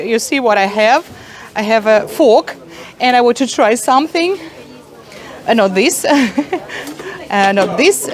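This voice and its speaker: A middle-aged woman whose first language is Russian, talking in a casual travel vlog to her phone with many fillers and asides to viewers. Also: mid-range voice. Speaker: vlogger. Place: busy indoor market hall. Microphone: phone at arm's length.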